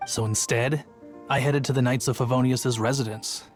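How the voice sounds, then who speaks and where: A man's voice: mid-range voice, fairly even intonation, normal speed, faint music behind, lightly acted. An actor playing a chatty, earnest young male town guard telling his tale in a video game. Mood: dramatic, earnest. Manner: storytelling, earnest.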